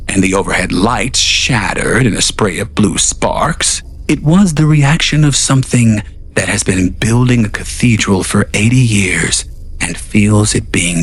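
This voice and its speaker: A man narrating an audio drama in a measured, dramatic way that drops at times to hushed near-whispers. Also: fairly low pitch, slightly rough. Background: faint music.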